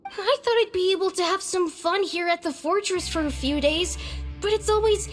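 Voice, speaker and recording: A woman's voice: very high voice, normal speed. A woman voicing a sulky, bratty young sick boy in a video game, whining and grumbling. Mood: sulky, annoyed. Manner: whiny, grumbling. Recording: music behind the voice.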